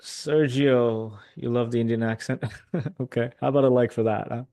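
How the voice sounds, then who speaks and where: A man from North America chiming in as co-host of a live trading show with relaxed, joking remarks. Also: medium pitch, normal speed, dry recording, studio quality.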